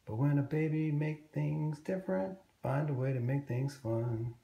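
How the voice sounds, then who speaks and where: Man reading a gentle, patient mother tiger, mellow. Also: fairly high pitch, unhurried, creaky, echoey room, pitched above the performer's own voice. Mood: gently reassuring. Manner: soothing, patient. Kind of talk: children's storybook read-aloud.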